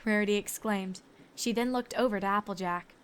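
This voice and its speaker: A woman's voice: mid-range voice, steady tone, brisk, background noise. A woman reading scripted story narration as the narrator.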